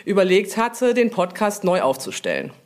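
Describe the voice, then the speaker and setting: A woman answering interview questions informally, explaining things with frequent pauses to think. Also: medium pitch, wildly swooping pitch. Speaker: brand manager, interview guest.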